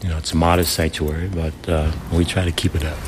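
Deep-voiced pastor telling a story. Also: male voice, little pitch movement, quick pace, noisy background. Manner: conversational, recounting with quoted voices. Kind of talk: casual interview storytelling. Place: church sanctuary.

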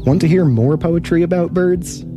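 A man hosting a short radio program, reading a scripted introduction in a calm, even delivery. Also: fairly high pitch, normal speed. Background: guitar music.

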